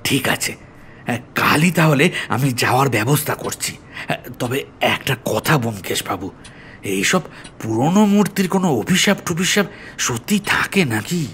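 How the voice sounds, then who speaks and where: A man's voice: medium pitch, lively intonation, measured pace, fairly bright tone. Man acting an earnest policeman, faint background noise. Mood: respectful. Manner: explanatory, polite, serious. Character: earnest, deferential male police officer seeking help. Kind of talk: audio story acting.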